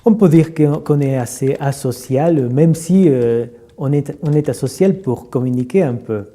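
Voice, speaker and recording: Halting man with a dark voice. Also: mid-range voice. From Argentina. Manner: halting, many fillers and repeated words. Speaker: literary translator. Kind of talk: reflective interview.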